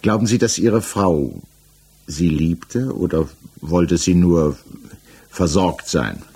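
A man acting a composed, persistent examining magistrate in a 1960s German radio crime drama, questioning in a measured, official way. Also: low-pitched, darkish tone, breathy edge, full character voice. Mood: probing. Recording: 1960s mono radio production.

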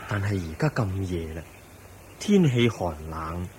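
An actor playing the Buddha in a Cantonese radio drama as a calm, caring teacher who advises gently in measured phrases. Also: male voice, deep voice, slight background noise. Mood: caring concern.

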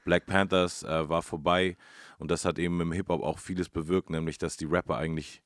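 A man's voice: low-pitched, level tone, fairly quick, no room sound, studio quality. A man co-hosting a music history talk, explaining casually and colloquially and checking in with tag questions. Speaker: co-host of a hip-hop history radio feature.

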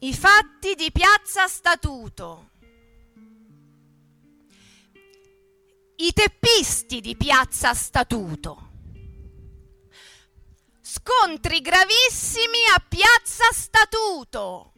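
A woman's voice: extremely high pitch, big pitch swings, slow pace, pushed and bright, no room sound, faint music behind, exaggerated voice. A woman acting an impassioned narrator in a theatrical radio play, with emphatic, declamatory delivery. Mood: accusing, declamatory. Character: impassioned female narrator of workers' revolt and hostile headlines. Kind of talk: theatrical dramatic reading.

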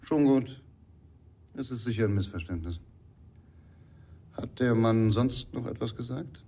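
A man's voice: mid-range voice, measured pace, dark tone. An actor in a radio crime drama playing an uneasy village father, curt, guarded and dismissive.

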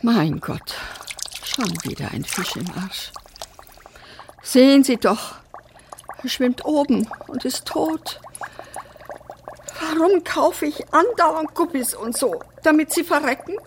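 A woman's voice: high-pitched, expressive, slow, pauses often, a little hiss or hum, heavily acted. An actress playing an eccentric, chatty mother in a radio play, rambling and exclamatory. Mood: exasperated, fussing. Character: eccentric, chatty mother fussing over pet fish. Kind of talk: radio drama acting.

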